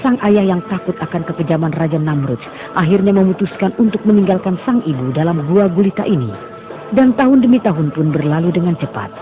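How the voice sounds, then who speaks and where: A male narrator telling a cartoon story. He speaks in a steady, dramatic way with a high-pitched voice. The sound is tinny and phone-like, with music playing clearly behind.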